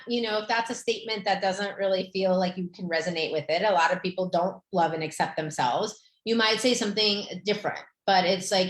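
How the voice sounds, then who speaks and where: A middle-aged woman, a Romanian native speaker, hosting a podcast as a therapist, gently guiding listeners through a tapping exercise with many fillers. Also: mid-range voice, echoey room, rough recording.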